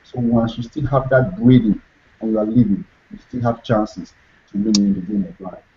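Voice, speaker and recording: A man's voice: on the low side, moderate pace, mellow, slight background noise. A young man from Cameroon, talking earnestly as an interview guest and repeating his key phrases. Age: young adult. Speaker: guest author. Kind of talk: motivational interview talk. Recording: dull lo-fi recording.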